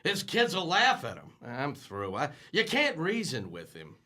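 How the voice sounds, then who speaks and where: Bumbling father, played by a man, bright tone, lively swooping pitch, hesitant. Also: measured pace, exaggerated voice. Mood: blustering. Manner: fumbling, hesitant, blustering. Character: bumbling, blustery working-class husband and father. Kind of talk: comic radio drama acting.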